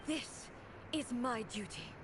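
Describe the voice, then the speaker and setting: A woman playing a proud, solemn warrior of divine power in a video game, speaking formally and gravely. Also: on the high side, very animated, slow pace, noisy background. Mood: solemn, resolute. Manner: formal, grave, declamatory delivery.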